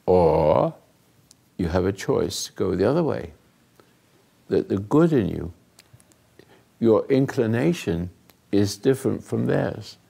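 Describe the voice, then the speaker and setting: An older man hosting a talk, giving rambling, earnest moral teaching to a live group, often dropping into hushed asides. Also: lowish voice, animated, takes it slowly, long pauses. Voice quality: gravelly, husky. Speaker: host and spiritual counselor.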